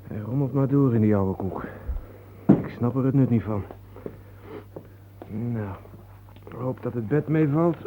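A man's voice: medium pitch, measured pace, pauses often, narrow, tinny audio, very noisy, lightly acted. An actor playing a down-to-earth, curious male travel companion in a Dutch radio play, blunt and colloquial. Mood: weary, bemused.

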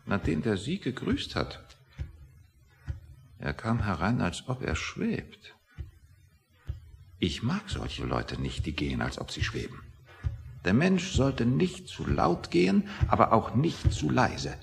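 A man's voice: lowish voice, wildly swooping pitch, slow pace, creaky, pauses often, exaggerated voice. An actor playing a grumpy, self-satisfied, pedantic man in a satirical radio play. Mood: pompous, musing. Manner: pedantic, lecturing, easily offended. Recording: reverberant hall-like room.

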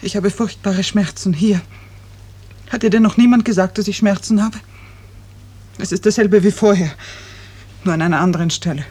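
Eine Schauspielerin spielt in einem Hörspiel der fünfziger Jahre eine schwerkranke Frau, eindringlich, bitter und wehmütig. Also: female voice, medium pitch, moderate pace, long pauses, a little mellow, slightly rough, close to an everyday voice. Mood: bitter, reproachful. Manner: intense, reproachful, wistful. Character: seriously ill woman patient, bitter, searching, wanting the truth. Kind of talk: 1950s German radio drama acting. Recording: noisy early 1950s radio recording.

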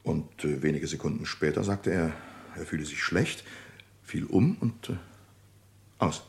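A man playing a composed, discreet businessman giving testimony in a radio crime drama, speaking formally and deliberately. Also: a bass voice, lively intonation, creaky, exaggerated voice. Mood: hesitant, recalling. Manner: formal, deliberate, hesitant pauses.

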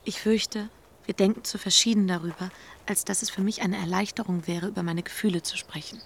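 A woman's voice: medium pitch, fairly even intonation, a little fast, gravelly, slight background noise, lightly acted. An actress playing a principled, reserved young woman in a German radio drama, speaking seriously and carefully, in formal phrases. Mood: guarded. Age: young adult.

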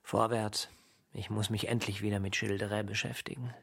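A man playing a brooding, solitary writer in a radio drama, speaking in theatrical literary monologue with a gravelly voice. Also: fairly low pitch, level tone, brisk, heavily acted. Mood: restless. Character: Dürtal, brooding solitary bachelor writer absorbed in research. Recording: loud guitar music behind.

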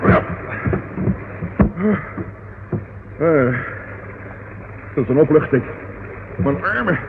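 A man's voice: a little high, lively intonation, measured pace, creaky. A male actor playing a weary, grumbling policeman in a radio drama, plaintive in manner. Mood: shaken. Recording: tinny old radio drama recording, noisy.

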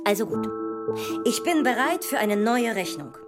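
A woman's voice: fairly high pitch, lively intonation, normal speed, close to an everyday voice. An actress playing a pensive, questioning woman mathematician in a poetic, lyrical audio drama. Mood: resolute, incantatory. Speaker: performer in an experimental audio drama. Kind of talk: poetic theatrical dialogue with musical repetition. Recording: voice under loud music.

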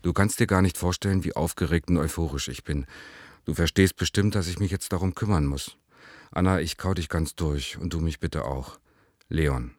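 Radio drama lover, played by a man: young bohemian, extremely low voice, confiding and deliberate, dry close sound. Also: a little mellow, dry recording, natural voice. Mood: secretly excited. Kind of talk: radio play letter reading.

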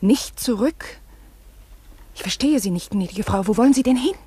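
An actress in a radio play voicing an anxious, deferential female companion, breathy and hesitant. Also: on the high side, animated, normal speed, warm tone. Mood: alarmed, distressed. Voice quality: breathy, often near whispering. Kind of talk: German radio play acting.

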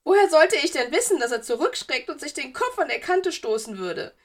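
Lady doing a whiny lovesick ghost girl for an audiobook, high voice, super dramatic and sobby. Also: sing-song, a little fast. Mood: plaintive. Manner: sobbing, wheedling, melodramatic complaining. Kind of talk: audiobook character voice.

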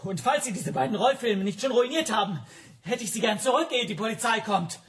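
An actor in a radio drama playing a cornered language teacher who talks in a defensive, insistent and pointed way. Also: male voice, high-pitched, expressive, a little fast, fairly bright tone. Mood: probing. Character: cornered Hungarian language teacher bluffing defiantly.

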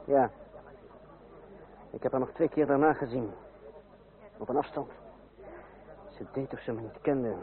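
A man's voice: mid-range voice, a little slow, pauses often, close to an everyday voice. An actor playing a cool, sardonic young Frenchman in a radio play, speaking with cultivated theatrical irony. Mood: cool, wry. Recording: tinny, band-limited old radio recording.

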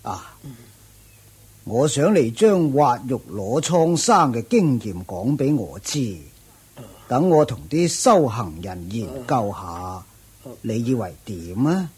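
An actor playing a solicitous, admiring religious practitioner, courteous and gravelly, in a radio drama. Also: male voice, mid-range voice, sing-song, takes it slowly, a little mellow, exaggerated voice. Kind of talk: Cantonese radio drama acting.

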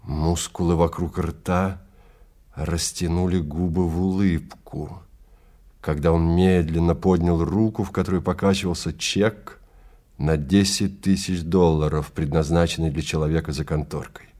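A man narrating literary fiction in a theatrical, measured manner with deliberate phrasing. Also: sits low, steady tone, mellow.

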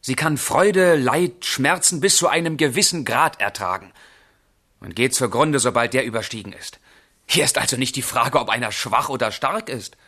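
Passionate, husky young romantic. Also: male voice, mid-range voice, expressive, moderate pace, sharp tone, no room sound, clean studio sound. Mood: fervent, arguing. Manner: fervent, declamatory. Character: passionate, brooding young man of the 1770s. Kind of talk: literary radio drama, dialogue and letter monologue.

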